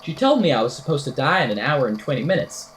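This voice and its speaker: A woman's voice: extremely low pitch, sing-song, fast, slight background noise. A woman playing an eccentric, whimsical podcaster in an amateur audio drama, telling a strange tale in a verbose, wry, theatrical way. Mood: storytelling, wry. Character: eccentric, whimsical podcaster recounting a supernatural statement.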